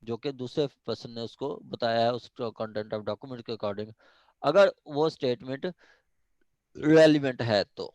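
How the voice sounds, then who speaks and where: A man's voice: medium pitch, darkish tone, no room sound. Pakistani man lecturing, dull lo-fi recording. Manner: switches between reading formal English haltingly and explaining conversationally. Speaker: law lecturer. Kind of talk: informal lecture explaining a legal text clause by clause.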